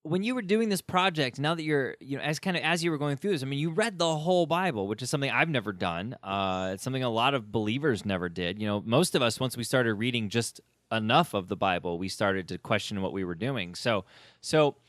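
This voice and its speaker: Animated man hosting a casual interview. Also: medium pitch, brisk, no echo, clean studio sound. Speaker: podcast host.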